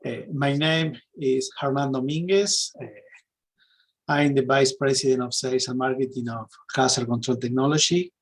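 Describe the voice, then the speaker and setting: A man's voice: mid-range voice, steady tone, moderate pace. A middle-aged man with an Argentine Spanish accent presents a webinar informally, searching for words in his second language. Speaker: sales and marketing executive, webinar presenter.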